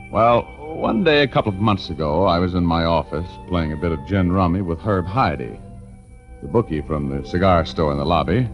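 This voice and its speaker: A deep, animated American male private eye tells his story in a wry, breezy way. It is 1940s radio detective drama, full of casual wisecracks, on a vintage broadcast with faint music. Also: lo-fi sound. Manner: breezy, wisecracking, folksy hesitations. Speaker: lead actor and narrator of radio detective drama. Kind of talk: 1940s radio acting, first-person narration.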